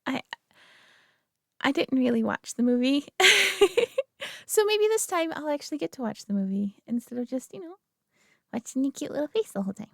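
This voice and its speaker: Woman voicing a girlfriend, young, sweet, nervous, giggly, stammering, high voice, dry close sound. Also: expressive, normal speed, gravelly, pauses often, dry recording, full character voice. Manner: giggly, stammering, soft-spoken. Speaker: audio roleplay performer. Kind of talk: girlfriend roleplay addressing the listener.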